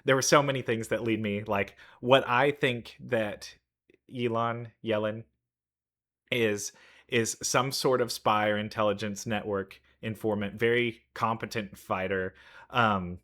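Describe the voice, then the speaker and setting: A man's voice: fairly low pitch, steady tone, unhurried, fairly bright tone. A man giving casual reaction commentary on game trailers, thinking aloud in loose, informal sentences. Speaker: reaction video host. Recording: music playing behind the voice. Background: game trailer music.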